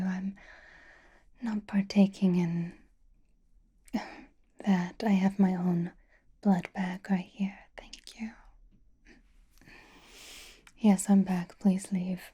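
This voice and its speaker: A woman playing a possessive, teasing female vampire in a whispered ASMR roleplay, breathy, coy, intimate and commanding. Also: medium pitch, level tone, drawn-out words, pauses often, dark tone, dull audio, exaggerated voice. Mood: haughty, possessive.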